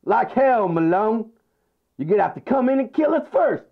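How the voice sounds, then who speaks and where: A snarling frontier outlaw in an audio play. His high, gravelly voice comes through thin and phone-like with clear noise. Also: very animated, normal speed, heavily acted. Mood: defiant. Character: defiant, snarling Old West bank robber. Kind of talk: Western audio play acting. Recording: thin phone-like sound, noisy, clicks.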